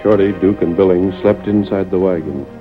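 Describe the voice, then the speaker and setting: A man narrating an old-time radio western in a measured, formal, dramatic American voice. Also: deep voice, steady tone, over music, natural voice. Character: dramatic storyteller of an Old West serial. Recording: muffled old radio broadcast recording.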